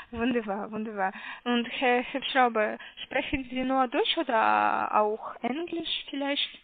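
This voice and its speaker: A woman with a foreign accent works through a sales call at a slow, hesitant pace. Her voice sits fairly high and is squeezed by a narrow phone line. She takes care over each thing she spells out. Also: lively intonation, creaky. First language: not German. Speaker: call centre caller.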